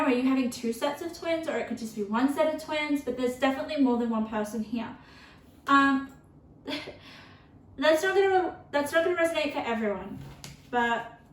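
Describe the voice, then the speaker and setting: A woman giving a casual tarot reading, chatty and rambling with lots of fillers, her voice turning a little croaky. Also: high-pitched, roomy sound, distant mic, slight background noise, low-quality recording. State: voice getting croaky from thirst. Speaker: tarot reader.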